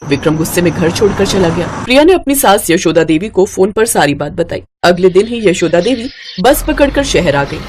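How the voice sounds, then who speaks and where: A woman's voice: fairly low pitch, animated, brisk, few pauses, a little mellow, slight background noise. Woman narrating a moral story.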